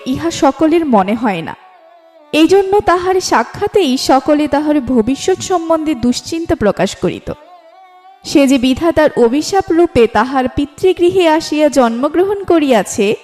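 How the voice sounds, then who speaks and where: A woman's voice: high voice, darkish tone. A woman reading a literary story as a scripted narration. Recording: music bed behind the voice. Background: background music.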